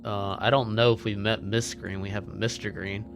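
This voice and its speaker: A man playing a tabletop roleplaying game, improvising casually and haltingly as he speaks for his character. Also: on the low side, level tone, over music.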